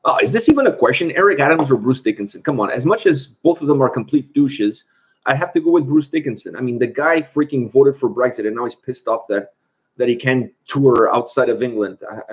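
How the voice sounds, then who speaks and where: A man's voice: mid-range voice, expressive, a little fast, breathy edge. A man from Portugal hosting a casual livestream, chatting and answering viewer questions. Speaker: host.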